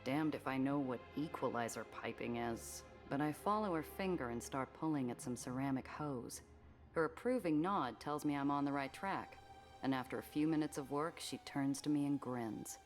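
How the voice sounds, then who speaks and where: An actress playing a world-weary woman smuggler who narrates her story in a wry, deadpan hard-boiled style. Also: a bass voice, moderate pace. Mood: dry, wary. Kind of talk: hard-boiled first-person game narration. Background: music.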